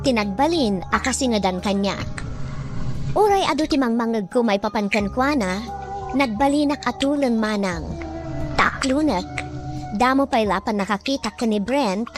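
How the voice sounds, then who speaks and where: A woman's voice: fairly high pitch, close to an everyday voice. A woman playing a young provincial student, narrating her own love story in a scripted audio drama. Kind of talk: scripted drama narration in Ilocano radio-drama manner. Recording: music under the voice. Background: background music.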